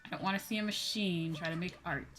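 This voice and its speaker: A woman's voice: on the low side, quick pace, fairly bright tone. A woman livestreamer chatting casually while gaming, blunt and swearing freely, repeating her points for emphasis. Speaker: livestream host, VTuber.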